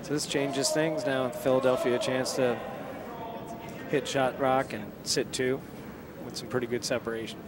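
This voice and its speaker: A man with a Newfoundland accent giving live curling commentary, halting often with fillers. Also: mid-range voice, flat delivery, over a noisy crowd. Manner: halting, many filler pauses. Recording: loud background noise.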